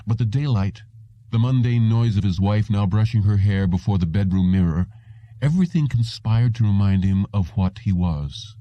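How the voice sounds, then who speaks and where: A man's voice: fairly low pitch, normal speed, no room sound, dull audio. A man reading literary fiction aloud as a scripted audiobook narrator.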